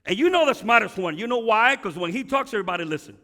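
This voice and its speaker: Pastor guy preaching, switches to english sometimes, bright tense voice, big hall echo. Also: expressive, fairly quick. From Cuba. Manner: preaching with rising emphatic peaks and dropping low asides. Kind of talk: bilingual sermon.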